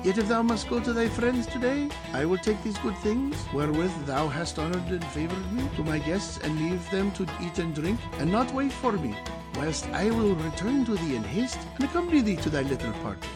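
A man's voice: high voice, animated, moderate pace. Man acting a meddlesome old barber, music behind. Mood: insistent. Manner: wordy, flowery, archaic speech. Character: talkative, meddlesome, ingratiating old male barber of Baghdad. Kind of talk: audio drama storytelling. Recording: music under the voice.

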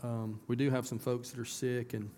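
A hoarse man with a drawl pastors his church in a relaxed, folksy voice. His pitch sits mid-range, and he leans into emphatic bursts. A faint hiss runs under the church sound. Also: normal speed. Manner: folksy, conversational, rising to emphatic. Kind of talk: casual church announcements and sermon. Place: church sanctuary.

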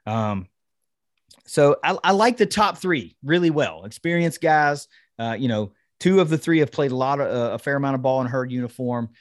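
A man's voice: mid-range voice, animated, normal speed, dry recording, studio quality. A man hosting a sports podcast, casually breaking down football in an enthusiastic, conversational way. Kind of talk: casual football analysis chat.

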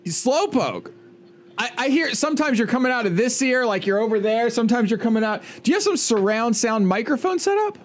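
A man co-hosting a podcast, teasing his guest in animated chat. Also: very high-pitched, a little fast. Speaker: podcast co-host. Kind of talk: casual chat.

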